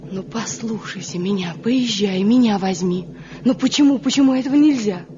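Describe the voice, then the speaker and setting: An actress playing an anxious, pleading wife in a mountain village, acting earnestly in an old radio drama. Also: female voice, medium pitch, moderate pace, close to an everyday voice. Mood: pleading, troubled. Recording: dull, faintly noisy 1970 radio recording.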